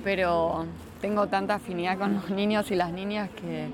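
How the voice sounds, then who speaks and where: A woman with an Argentine Rioplatense accent talks in an informal, slangy and opinionated way as an interview guest. Her pitch is average, and a busy crowd with urban noise is clearly audible around her. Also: fairly even intonation, normal speed. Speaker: interviewed guest, children's science entertainer and writer. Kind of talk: casual chat, answering interview questions. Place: busy brewery bar hosting an event. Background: crowd and street noise.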